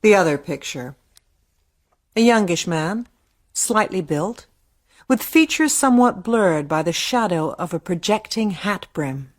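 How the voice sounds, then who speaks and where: An actress narrating a radio drama as a grave storyteller, measured and literary in delivery. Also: female voice, on the low side, expressive, no echo, clean studio sound. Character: grave female storyteller of a ghost tale.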